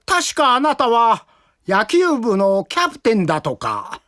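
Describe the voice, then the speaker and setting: A man's voice: very high voice, wildly swooping pitch, unhurried, a bit bright, no echo, studio quality, exaggerated voice. An actor playing a mild, dignified older male judge, formal and ceremonious, in a Japanese audio drama. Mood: puzzled, formal.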